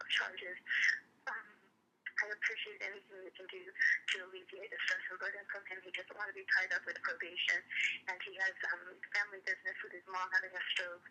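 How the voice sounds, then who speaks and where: A woman's voice: lowish voice, bright, forceful tone. A woman leaving a long, earnest voicemail as a caller, in formal, wordy phrasing, over the telephone. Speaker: caller, advocate for a friend. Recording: tinny, harsh telephone voicemail recording.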